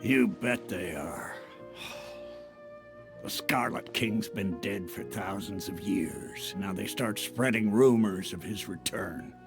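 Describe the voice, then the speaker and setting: An actor playing a gruff, weary village chief who speaks slowly and scornfully in a game cutscene. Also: male voice, on the low side, music in the background.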